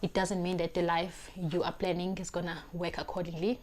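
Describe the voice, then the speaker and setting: Brief: South African woman, twenties, lower-pitched, earnest advice vlog on a lapel mic. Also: flat delivery, brisk. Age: 20s. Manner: earnest, emphatic, repeats phrases while searching for words. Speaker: vlogger. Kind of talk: talking-to-camera life advice. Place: small indoor room, plain wall.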